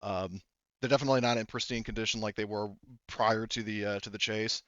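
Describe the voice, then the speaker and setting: A man narrating a tabletop game as game master in a casual, halting, profanity-sprinkled way, on a dull recording. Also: lowish voice, fairly even intonation, fairly bright tone, no room sound. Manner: informal, profane, halting delivery.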